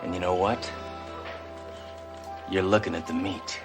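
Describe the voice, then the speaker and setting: An actor playing a tough, wisecracking male police detective who talks in a gruff, sarcastic, deadpan way. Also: low voice, unhurried, loud music behind the voice. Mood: sardonic.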